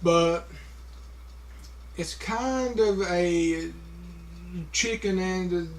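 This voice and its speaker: Slow man with a heavy stutter. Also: high voice. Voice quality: heavy stutter with repeated sounds and blocks. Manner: slow, halting, many fillers. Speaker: vlogger. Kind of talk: casual talk to camera.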